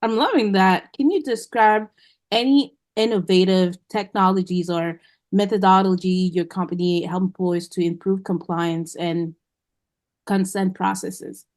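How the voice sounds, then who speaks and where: Female host, mid pitch, dry close mic, encouraging questioner. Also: fairly even intonation, a little slow, a little mellow, no room sound. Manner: encouraging, brief reactions. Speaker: interview host. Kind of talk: interview questions.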